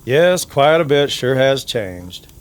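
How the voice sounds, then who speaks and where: A man playing a hesitant, worried small-town barber in an old-time Western radio drama, talking in a halting, confiding way. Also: mid-range voice, sing-song, clear, resonant tone, voice pushed up. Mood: welcoming.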